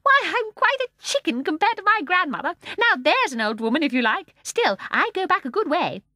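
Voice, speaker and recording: A woman voicing a tiny, ancient, tyrannical old shopkeeper woman with a shrill, squeaky, cackling voice, chattering gleefully one moment and turning soft and fierce the next. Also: very animated, fairly quick, dry recording, clean studio sound, exaggerated voice. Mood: gleeful, chatty.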